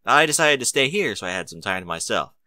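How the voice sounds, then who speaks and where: A man's voice: medium pitch, big pitch swings, fast talker, pushed and bright, no room sound, clean studio sound. A man voicing a young male dragon who is eager to please and awkwardly bashful, in a storybook reading. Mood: eager, polite.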